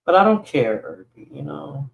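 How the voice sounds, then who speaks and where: A woman's voice: low voice, big pitch swings, normal speed, vocal fry, low-quality recording. A woman with a New York accent, Spanish as her first language, hosting a casual livestream and rambling through replies to viewer comments. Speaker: livestream host.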